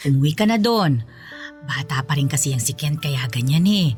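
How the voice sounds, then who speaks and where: A woman's voice: sits low, expressive, darkish tone, faint music behind. A woman playing a middle-aged, indulgent but scolding Filipina mother-in-law in a radio drama, nagging and dismissive, with a Filipino accent. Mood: dismissive, placating. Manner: nagging, dismissive, drawn-out phrases.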